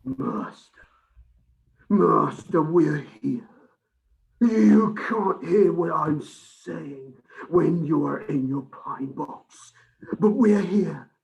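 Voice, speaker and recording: Crazed creepy servant in an old radio play, drawn out, fawning, creaky half whisper. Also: male voice, on the high side, very slow, a little mellow, highs cut off, heavily acted. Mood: fawning, eerie. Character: crazed servant fawning over his vampire master. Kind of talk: 1930s radio play acting.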